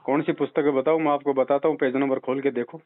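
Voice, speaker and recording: A man's voice: mid-range voice, steady tone, quick pace. A man speaks in an insistent, admonishing way, counselling someone on religion and repeating his points for emphasis. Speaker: religious adviser answering a follower's phone call. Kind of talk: persuasive phone conversation, religious counselling. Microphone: phone call. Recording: tinny, narrow phone-line call recording.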